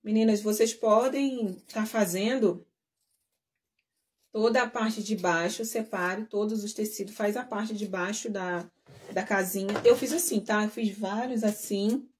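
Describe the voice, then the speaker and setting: A woman's voice: mid-range voice, steady tone, a little slow. A woman teaching a live sewing class, talking casually to her viewers while she works and trailing off between steps. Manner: chatty, addressing viewers as girls. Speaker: sewing instructor.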